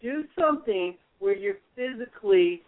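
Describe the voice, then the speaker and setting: A man's voice: high-pitched, lively intonation, normal speed. A man hosting a radio advice show, coaching in a strong, projecting voice with frequent restarts. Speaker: radio show host, mentor. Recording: phone-like narrow band.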